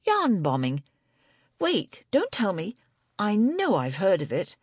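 A woman's voice: medium pitch, wildly swooping pitch, slow pace, a little mellow, slightly rough, no echo, studio quality, pitched above the performer's own voice, heavily acted. A woman narrator voicing an inquisitive, friendly amateur woman sleuth in an audiobook. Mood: curious.

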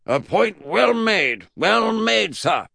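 A man's voice: sits high, very animated, slow, creaky, pushed and bright, no room sound, clean studio sound, pitched above the performer's own voice, heavily acted. A man voicing an eccentric, blustering old great-uncle in a comic children's audiobook, pompous and emphatic. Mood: pompous, confident. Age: older.

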